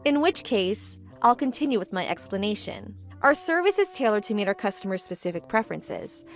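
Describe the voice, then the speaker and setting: A woman's voice: mid-range voice, big pitch swings, a little fast. A woman playing a composed, forward young businesswoman in a manga dub, speaking politely and matter-of-factly. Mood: businesslike. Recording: background music under the voice.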